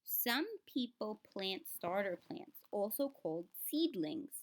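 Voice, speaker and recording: A woman's voice: medium pitch, sing-song, measured pace. A woman reading a children's book aloud in a teaching way, speaking straight to the children and adding simple explanations. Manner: instructive, addresses children directly, sounds out words.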